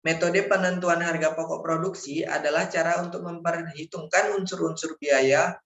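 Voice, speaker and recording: A man's voice: fairly high pitch, fairly even intonation, normal speed, a bit bright. A young man, a university student formally reading out a scripted slide presentation, sometimes stumbling over words. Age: young adult. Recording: echoey room, dull lo-fi microphone.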